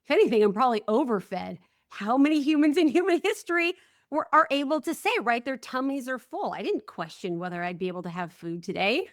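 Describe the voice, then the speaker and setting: A woman's voice: a little high, lively intonation, brisk, dry recording, studio quality. A woman hosting a podcast, speaking earnestly and reflectively, with frequent affirming replies. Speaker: podcast host. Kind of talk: conversational podcast interview.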